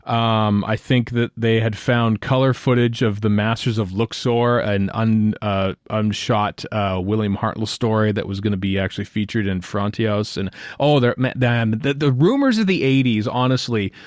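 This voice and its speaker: A man from Western Canada with a Canadian accent, hosting a podcast in a rambling, halting, jokey style of casual chat. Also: lowish voice, dry recording, clean studio sound. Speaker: podcast host.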